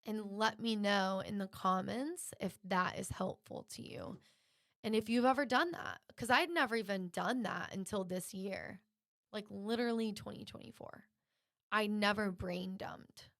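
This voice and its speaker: A woman delivers a motivational podcast monologue in a medium-pitched voice with noticeable creak. She is encouraging and drives her points home by restating them. The sound is dry, with very little room in it. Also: a little slow, a bit bright, dry recording. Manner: encouraging, emphatic repetition. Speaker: podcast host.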